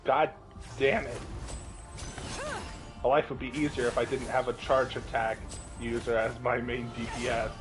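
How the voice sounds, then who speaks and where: A young man plays a game and chats casually over it. His voice is medium-pitched and wry, often a mutter, with faint music behind. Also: little pitch movement, normal speed, long pauses. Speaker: gamer. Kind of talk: casual gameplay chat. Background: video game music.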